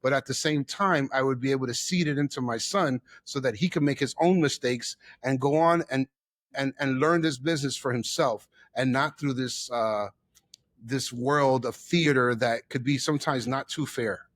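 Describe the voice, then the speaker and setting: Male, middle-aged, New York-raised guest. Emphatic storyteller who repeats for effect, mid-pitched, dry sound. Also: moderate pace, no echo. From the Bronx, New York. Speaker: interview guest, chef and theater owner. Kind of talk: personal storytelling in an interview.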